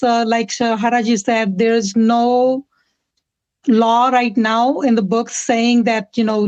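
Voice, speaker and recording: Mature woman, Indian accent, slightly high voice, impassioned activist guest, dry close sound with muffled top end. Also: a little mellow, dry recording. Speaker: interview guest, community activist. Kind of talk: impassioned personal testimony.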